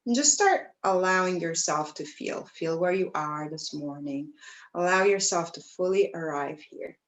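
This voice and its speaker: A woman teaching yoga, guiding breathing and meditation in a gentle, soothing way, with pauses between phrases. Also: fairly low pitch, lively intonation, poor audio. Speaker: yoga teacher leading a class. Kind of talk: guided breathwork and meditation instruction.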